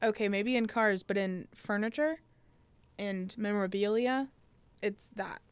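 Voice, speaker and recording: A woman's voice: medium pitch, measured pace, smooth, no echo. A young woman co-hosting a podcast, chatting playfully and holding her ground in a friendly argument. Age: young adult. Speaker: podcast co-host. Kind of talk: casual podcast banter.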